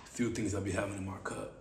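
Raspy-voiced man podcasting in an echoey room. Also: on the low side. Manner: laid-back, playful, repeats phrases with slangy asides. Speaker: podcast host. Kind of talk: casual solo podcast monologue. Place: small room indoors, seated. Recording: echoey room with steady background hiss.

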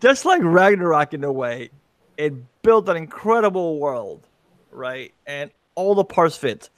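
A middle-aged man speaks into a big studio mic from his home room. His voice sits a little high for a man, and the sound is dry and close. He delivers firm opinions and moves the talk briskly along. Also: very animated, no echo. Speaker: co-host. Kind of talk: casual movie-ranking chat. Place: room at home with screen panels. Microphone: large studio mic with headphones.

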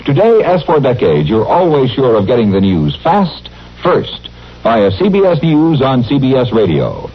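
A man's voice: mid-range voice, wildly swooping pitch, normal speed. An American man announcing on old network radio, reading scripted copy in a polished, promotional manner. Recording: dull old radio broadcast recording.

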